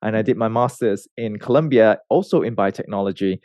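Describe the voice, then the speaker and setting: A man's voice: fairly low pitch, fairly quick, no room sound. Malaysian-raised man in a dry podcast interview. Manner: relaxed, many fillers and restarts. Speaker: research scientist guest. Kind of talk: conversational interview answers.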